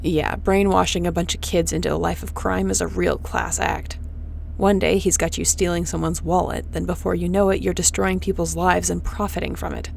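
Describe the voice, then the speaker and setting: A woman plays a hard-edged space captain with a lowish voice and plenty of creak. She is commanding, sardonic and protective in this audio roleplay, with faint noise behind her. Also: little pitch movement, normal speed. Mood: defiant. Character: tough, defiant, protective female starship captain. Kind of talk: sci-fi audio roleplay acting.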